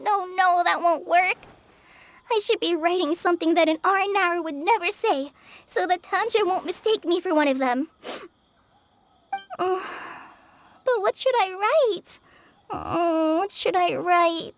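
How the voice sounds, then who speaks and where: A woman's voice: very high-pitched, fairly bright tone. A woman playing a shy, fretful young craftswoman in a game, who talks to herself and giggles nervously. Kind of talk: game voice acting.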